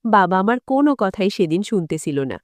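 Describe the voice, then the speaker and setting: A woman's voice: medium pitch, sing-song, brisk, no room sound, studio quality. A woman narrating a dramatic first-person story as a storyteller.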